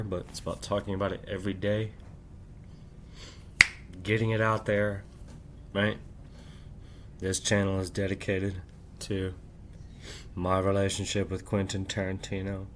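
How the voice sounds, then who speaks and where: Middle-aged guy rambling at home late at night, lowish voice, keeps saying right?, a bit of hiss in the background. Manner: rambling, repeats "right?" often; keeps voice down while family sleeps. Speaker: channel host. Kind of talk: late-night monologue to camera.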